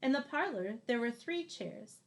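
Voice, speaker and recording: A woman in her thirties reads a children's picture book aloud expressively, leaning deliberately on key words. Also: fairly high pitch, lively intonation, vocal fry, faint music behind. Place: small room indoors.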